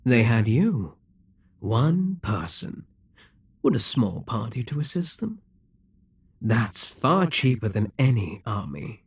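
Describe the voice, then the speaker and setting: A man voicing an immortal, scheming and possessive demon king in an audio roleplay, speaking in a measured, persuasive way with quiet whispered asides. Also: mid-range voice, big pitch swings, slightly rough, dry recording, exaggerated voice.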